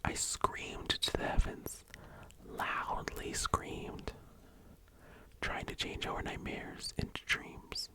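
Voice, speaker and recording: A young adult ASMR host speaking and reading poetry in a breathy, gentle whisper, lingering on the words. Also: takes it slowly.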